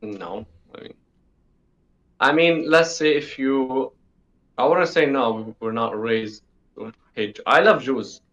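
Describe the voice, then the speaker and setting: A man's voice: medium pitch, takes it slowly, fairly bright tone. Teenage male, from the UAE, casual interview guest, informal, emphatic repetition, muffled band-limited sound. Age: teens, sixteen. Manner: informal, repeats himself for emphasis.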